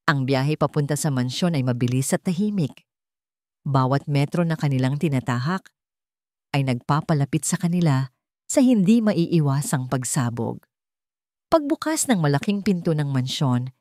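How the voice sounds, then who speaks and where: A woman tells a scripted love story as a dramatic narrator. Her voice sits low, and the recording is dry and close, with little room sound. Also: warm tone, no room sound.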